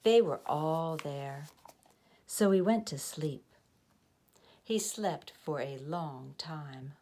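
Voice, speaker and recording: A woman reading a children's story aloud in a deliberate, expressive way, drawing out words for young listeners. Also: sits low, a little hiss or hum. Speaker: storybook reader.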